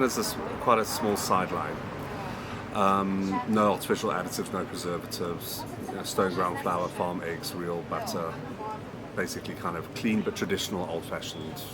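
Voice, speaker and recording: A middle-aged man from Zambia talks in an explanatory interview style. His voice is very low, and loud traffic and urban noise sits behind him. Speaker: small restaurant owner.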